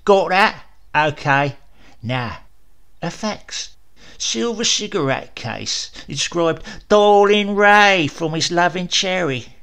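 A brisk, clipped man rattles off a list of someone's belongings, as a narrator puts him on. His voice sits a little higher than plain talk and bounces from item to item. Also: expressive, pushed and bright, clear, resonant tone, exaggerated voice.

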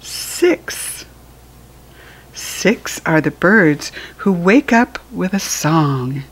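An American woman reading a counting book aloud to young children as a teacher, in an encouraging, sing-song, deliberate way. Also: on the low side, lively intonation, husky, low-quality recording.

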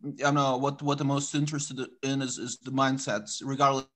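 A man's voice: medium pitch, little pitch movement, brisk, fairly bright tone. A man in the audience of an online lecture, putting his question insistently and repeating himself as he goes. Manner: repetitive, insistent, circling his point. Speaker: audience member asking questions.

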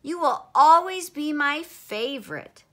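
A woman acts a little boy full of earnest admiration in a children's tale. He speaks up eagerly in a high, bright voice with lively pitch. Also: takes it slowly, exaggerated voice. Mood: adoring. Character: earnest, adoring small boy.

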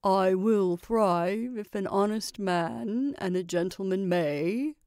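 A brave scarecrow turned gentleman, voiced by a woman reading aloud, talking in a stout, steady way. He sits lower than the narrator and slower. The recording is dry. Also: dry recording. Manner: stout and courageous.

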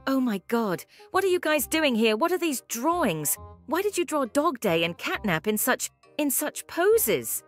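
Woman as a lively, curious young cartoon chicken boy. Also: sits high, a little slow, few pauses, faint music behind. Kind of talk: amateur comic dub voice acting.

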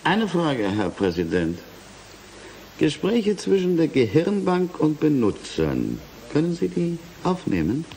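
A man's voice: fairly high pitch, wildly swooping pitch, slow pace, gravelly, darkish tone, a little hiss or hum, full character voice. An actor playing an attentive male investigator in a radio play, asking pointed, inquisitive questions. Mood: probing. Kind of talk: German radio play acting.

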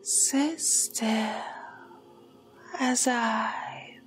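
A woman as a slow whispering vampire. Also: fairly high pitch, steady tone, husky. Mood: hypnotic. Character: seductive female vampire feeding on the listener. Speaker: ASMR roleplay performer. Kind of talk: ASMR roleplay. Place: dark room with backdrop.

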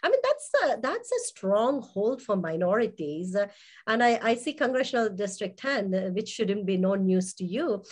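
A woman's voice: medium pitch, sing-song, moderate pace, slightly rough. A woman hosting an online interview, chatty and meandering as she asks her guest questions. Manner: chatty, frequent fillers and restarts.

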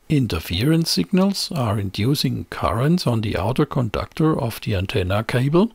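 A man narrates a technical tutorial in a measured, explanatory way. His delivery is slow, with a lively, moving pitch and a noticeably husky tone. The voice-over is scripted and closely miked. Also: no room sound. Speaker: presenter of an electronics tutorial channel.

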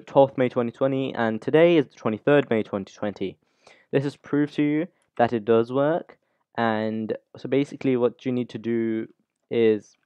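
A man's voice: medium pitch, clean tone, no echo. Man giving an informal tutorial, dull dry sound. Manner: informal, unscripted, rambling. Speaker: YouTube tutorial host. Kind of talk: casual software tutorial, talking through screen steps.

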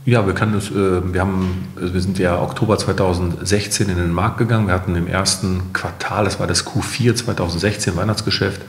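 A middle-aged man with a deep, low voice talks conversationally, as a guest being interviewed. The room is echoey and leaves a long reverb on his words. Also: steady tone, brisk, few pauses. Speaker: company co-founder, interview guest.

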